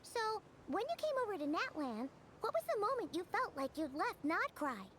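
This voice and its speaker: A woman voicing a tiny, excitable, childlike fairy companion in a video game, chirpy and squeaky, referring to herself in the third person. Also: normal speed, noisy background. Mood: curious, chatty. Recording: background music under the voice.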